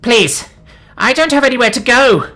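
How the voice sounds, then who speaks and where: A man's voice: a little high, huge pitch swings, a little slow, sharp tone, faint music behind, voice pushed up, full character voice. A man voicing a small, frightened female alien creature in an emotional, pleading audiobook performance. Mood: desperate, sobbing.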